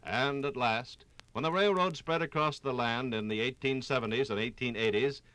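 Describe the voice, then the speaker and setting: A man narrating a scripted 1950s radio documentary with dramatic, storytelling phrasing and deliberate pauses. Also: mid-range voice, a bit bright, clear, resonant tone, no room sound.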